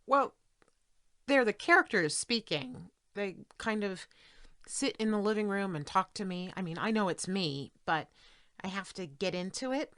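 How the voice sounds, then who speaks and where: Defensive, articulate female writer, audio drama. Bright, forceful, emphatic, very dry sound. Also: medium pitch, lively intonation, unhurried, no echo. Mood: absorbed, reflective. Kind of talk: stage-style audio drama acting.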